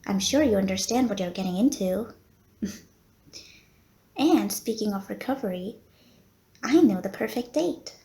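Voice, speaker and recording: Unhurried, courteous lady vampire nurse, acted by a woman. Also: mid-range voice, lively intonation, mellow. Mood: flirty, pleased. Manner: genteel, formal wording with coy hesitations. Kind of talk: roleplay audio acting, addressed to the listener.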